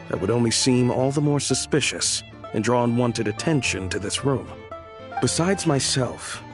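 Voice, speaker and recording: A man's voice: mid-range voice, close to an everyday voice. A man playing a polite, dutiful undercover guard in a video game, speaking in a formal, reassuring way.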